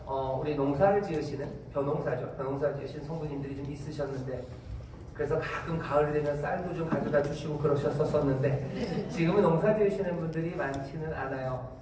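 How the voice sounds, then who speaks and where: A man with a Korean Incheon accent preaches in a church, talking to his congregation in a casual, chatty way. His voice sits a bit high. It comes from a distance in a reverberant sanctuary, with faint background noise. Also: darkish tone. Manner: conversational preaching with fillers and asides. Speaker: pastor. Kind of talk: sermon. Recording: echoey hall, distant mic, faint noise.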